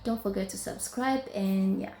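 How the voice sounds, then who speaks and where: A woman with a mellow, darker voice and a middle pitch opens a casual YouTube talk. She speaks conversationally and trips over a word now and then. Her voice rings a little in a roomy space. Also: moderate pace, slight background noise. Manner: conversational, with small restarts. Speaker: video commentator introducing the topic. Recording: roomy echo.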